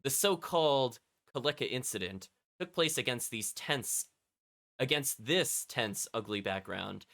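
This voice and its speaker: Animated, bright-voiced male game streamer. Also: medium pitch, unhurried, vocal fry. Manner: chatty, often commenting as an aside. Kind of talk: casual livestream commentary, reading game text aloud.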